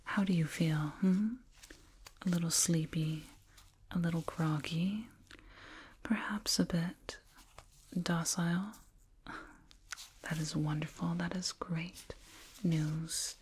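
A woman whispering slowly and soothingly in an ASMR roleplay as a condescending alien dentist, close to a binaural microphone. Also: lowish voice, steady tone, a little mellow, slight background noise. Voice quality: breathy whisper. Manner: slow, soothing, patronizing, deliberate.

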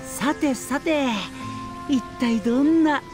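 アニメゲームで、遊び好きで得意げな若い男性の科学者の策士を演じる女性。からかうように、もったいぶって話す。. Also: female voice, high-pitched, takes it slowly, music in the background. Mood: playful, boastful. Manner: teasing, sing-song showing off. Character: playful, smug young male mad-scientist schemer. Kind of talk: anime game voice acting.

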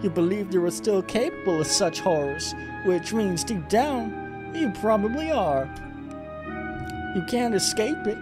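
A man's voice: high voice, expressive, unhurried, voice pushed up. A man voicing a seductive female succubus from a visual novel, with a smug, mocking, theatrical delivery. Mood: smug, taunting. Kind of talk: reading visual novel dialogue aloud. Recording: music behind the voice.

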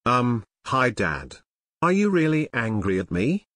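A jailed young man, read by a male text-to-speech voice pleads and whines. The voice is flat and computer-made, with a mid pitch. It is dry and close. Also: measured pace, no room sound. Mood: pleading. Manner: whiny, pleading.

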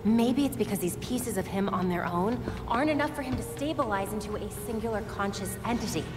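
A young woman voicing a brisk, analytical vigilante hero in a game cutscene. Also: mid-range voice, lively intonation, lightly acted. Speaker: tech-savvy vigilante hero. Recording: reverberant cutscene with music.

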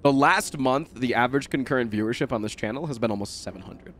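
A man's voice: medium pitch, quick pace, faint music behind. A young man with a nasal voice, swearing and joking through live gameplay commentary on stream from a room at home. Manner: profane, excitable, jokey.

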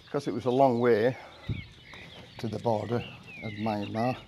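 Older english guy, monotone, chatting while washing his car outside, camera mic a few meters off, birds clearly audible. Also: lowish voice, moderate pace, a little hiss or hum. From England. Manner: rambling, chatty storytelling with fillers. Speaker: vlogger. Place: outdoor carport of a house. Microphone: camera mic from a few meters away. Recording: outdoor camera audio with birdsong. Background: birds and rural outdoor ambience.

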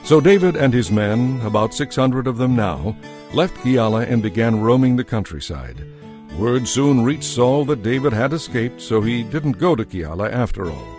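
Guy narrating a dramatized audio bible, medium voice, soft music behind him. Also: medium pitch.

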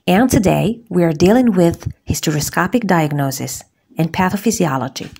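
A woman from Algeria, a gynecologist, giving a scripted medical lecture as a voice-over on slides, measured and precise. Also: on the low side, expressive, creaky, darkish tone, husky. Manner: measured, deliberate, precise enumeration.

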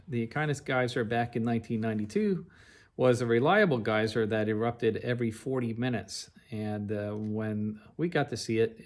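A middle-aged man with an average-pitched voice tells the story of a trip. He narrates conversationally, going through it in order and with plenty of detail. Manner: recounts in an orderly, chronological, detailed way. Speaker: narrator of a travel slide show.

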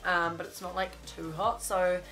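A woman's voice: on the low side, little pitch movement, normal speed, fairly bright tone, soft background music. Chatty stuffy-nosed New woman. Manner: chatty, gushing, lots of "like". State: blocked-up nose from a cold. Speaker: fashion vlogger. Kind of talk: casual clothing haul. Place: small bedroom studio with shelves.

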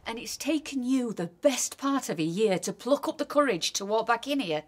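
High-pitched, animated, wounded wife. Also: female voice, moderate pace. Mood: bitter. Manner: pointed, reproachful questioning. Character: hurt, angry, accusing estranged wife. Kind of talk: naturalistic radio drama acting.

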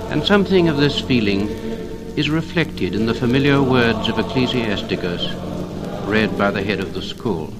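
A man's voice: fairly low pitch, expressive, normal speed. A man with a British received pronunciation accent gives formal, lyrical 1950s documentary narration in a solemn, reverent manner. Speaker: narrator of a commemorative record. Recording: 1950 LP recording, music behind voice.

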